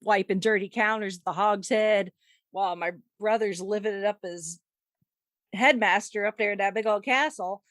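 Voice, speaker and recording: A woman's voice: medium pitch, normal speed, a bit bright, no room sound, clean studio sound. A woman co-hosting a casual fan discussion, chatty and rambling, with lots of "like" and "you know". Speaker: podcast co-host.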